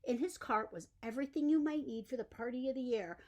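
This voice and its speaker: A woman reading a bedtime story aloud in an expressive storytelling style. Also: high-pitched, quick pace. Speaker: storyteller reading a picture book.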